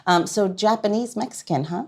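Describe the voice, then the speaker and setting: A woman's voice: medium pitch, moderate pace, darkish tone. A woman with an American accent hosting a podcast, talking casually in an informal, teasing way. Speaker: podcast host. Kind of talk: casual interview chat.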